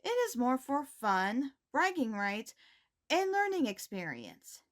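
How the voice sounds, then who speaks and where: Woman playing a high, slow-paced, animated school principal. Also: full character voice. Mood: composed, encouraging. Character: poised, caring high school principal giving morning announcements. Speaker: host reading school announcements. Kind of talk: scripted announcement.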